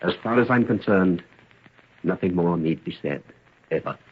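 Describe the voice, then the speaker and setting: Devoted father, emotional radio drama, lowish husky voice, dull vintage recording. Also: lively intonation, normal speed. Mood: grave, relieved. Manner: earnest, emotional. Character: devoted, anxious father and loyal old friend. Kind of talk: old-time radio drama acting.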